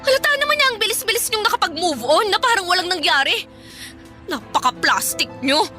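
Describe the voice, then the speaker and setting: High-pitched defiant teenage girl. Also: sing-song, brisk, sharp tone, heavily acted. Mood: bitter, angry. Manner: sarcastic, insolent back-talk. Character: rebellious grieving teenage stepdaughter, defiant and bitter. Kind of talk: Tagalog radio drama acting. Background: background music.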